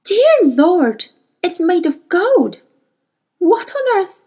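A woman's voice: very high-pitched, big pitch swings, extremely slow, darkish tone, voice pushed up, heavily acted. A woman reading aloud, voicing a tired, exasperated woman scientist who talks in a clipped, skeptical way. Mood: astonished.

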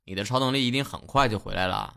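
A man's voice: mid-range voice, quick pace, creaky, sharp tone, clear, resonant tone. A man acting a lazy, exasperated teenage gamer in an amateur audio drama, grumbling and put-upon. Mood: offhand.

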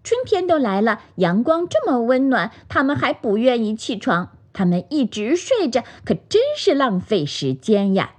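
A woman's voice: fairly high pitch, big pitch swings, normal speed, hardly any pauses, pitched above the performer's own voice. A woman voicing a kindly, curious, modest magpie in a children's storybook, light and chirpy. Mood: curious, puzzled.

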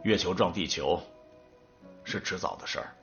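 A man's voice: deep voice, moderate pace, creaky, fairly bright tone, dull audio, faint music behind. An actor playing a composed male official in an audio drama. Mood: serious explaining. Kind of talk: Chinese audio drama acting.

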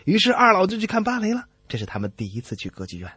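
A man's voice: high-pitched, huge pitch swings, very fast, pushed and bright, slightly rough, no room sound, studio quality. A man co-hosting a radio comedy show with scripted jokes and banter. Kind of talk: scripted joke telling and banter.

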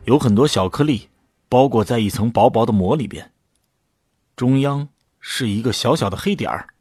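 A man's voice: medium pitch, lively intonation, normal speed, a little mellow, breathy edge, soft background music. A man acting in an audio drama as an awed, unsure ordinary volunteer who narrates his own story. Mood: curious. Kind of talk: dramatic scripted narration and dialogue.

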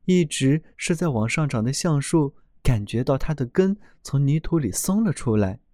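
A man reading a children's fairy tale aloud in a gentle, expressive storytelling manner. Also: mid-range voice, moderate pace, dry recording, studio quality.

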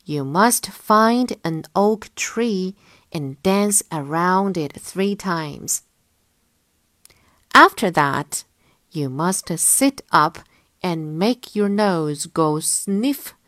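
A woman's voice: mid-range voice, animated, extremely slow, a bit bright, dry recording, clean studio sound. Woman playing an emphatic, instructing mother bird. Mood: firm, helpful. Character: motherly female bird, helpful, firm, instructing.

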